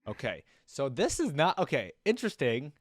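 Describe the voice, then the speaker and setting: A man's voice: medium pitch, wildly swooping pitch, normal speed, no echo, clean studio sound. A man giving casual, informal reaction commentary as a streamer, thinking aloud as he goes. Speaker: streamer reacting to game videos.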